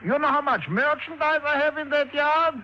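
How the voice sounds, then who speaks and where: Agitated, high-voiced junkyard owner. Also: male voice, moderate pace. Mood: indignant, agitated. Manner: excitable, protesting with rhetorical questions in broken syntax. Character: agitated junkyard owner, indignant and defensive man. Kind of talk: 1950s radio drama acting. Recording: dull, noisy old radio recording.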